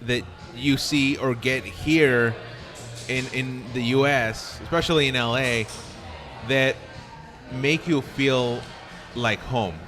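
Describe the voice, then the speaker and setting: Young male podcast host from Southern California, easygoing and rambling, mid pitch, recorded in a cafe with faint music. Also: fairly even intonation, slow pace. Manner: easygoing, laughs often, rambling. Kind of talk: casual interview chat.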